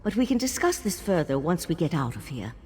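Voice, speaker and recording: A woman voicing an earnest female elf mage of an ancient monastic order, explaining calmly. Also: on the low side, fairly quick, in a large hall, a little hiss or hum.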